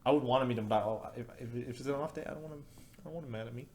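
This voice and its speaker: A young man with a stuffy nose co-hosting a casual podcast chat, joking and teasing in quick banter. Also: mid-range voice, darkish tone, roomy sound, slight background noise. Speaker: podcast co-host.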